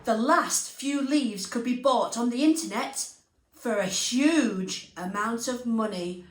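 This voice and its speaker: A woman presenting and reading stories to young children, speaking expressively and deliberately, addressing them directly. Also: on the high side. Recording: roomy echo, music underneath.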